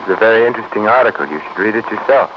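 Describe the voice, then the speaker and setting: An actor playing a cultured, calm, quietly obsessive male prisoner in a 1950s radio drama, speaking with polite, precise, academic diction. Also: on the low side, rapid-fire, natural voice. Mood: bookish, composed. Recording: noisy, muffled 1950s radio recording.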